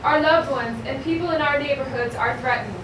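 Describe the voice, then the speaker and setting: Female performer, high voice, scripted recitation, far from mic amid noise. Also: fairly quick. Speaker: puppet show performer. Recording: very echoey, distant, noisy live recording.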